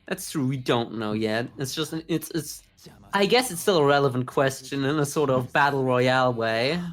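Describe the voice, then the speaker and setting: A man's voice: medium pitch, moderate pace, fairly bright tone. A man giving casual, sarcastic, joking reaction commentary on an anime as a podcast co-host.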